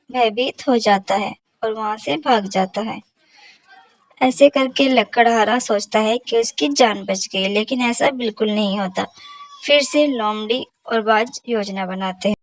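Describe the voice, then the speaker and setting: A woman's voice: a little high, unhurried, no echo, poor audio. A woman narrator reading a scripted children's story about animals.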